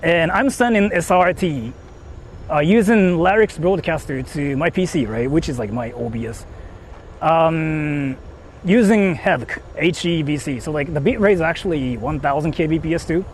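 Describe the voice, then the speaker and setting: A man's voice: a little high, moderate pace, slight background noise. A man live-streaming outdoors from a phone, rambling with lots of fillers as he explains his tech setup to chat. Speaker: IRL streamer. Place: outdoors in the countryside, on the move. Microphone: phone held while streaming.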